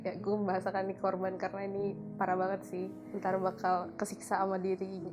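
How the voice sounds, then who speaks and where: A woman's voice: mid-range voice, monotone, brisk. A woman in her early twenties telling a personal story in casual, slangy speech during an interview. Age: early 20s. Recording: music playing behind. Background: background music.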